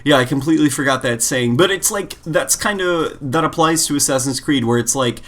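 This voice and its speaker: A man's voice: on the high side, a little fast, a bit bright. Opinionated, exasperated male podcast co-host. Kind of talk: casual gaming podcast chat.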